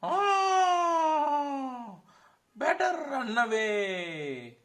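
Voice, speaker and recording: A dramatic, doubtful monkey from a children's puppet show, played by a man. The voice is high and swoops widely, drawing words out very slowly between loud exclamations. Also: sing-song, heavily acted. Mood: panicked. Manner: exclamatory, questioning.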